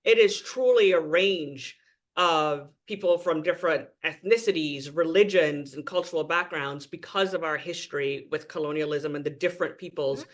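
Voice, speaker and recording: Guy on a podcast, earnest and emphatic, kinda high pitched. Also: normal speed. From Trinidad and Tobago. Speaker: guest. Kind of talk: podcast interview.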